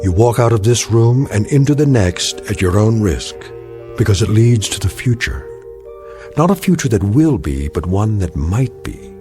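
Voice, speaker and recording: A man giving grave, measured scripted narration for a radio drama, with music underneath. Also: fairly low pitch, big pitch swings.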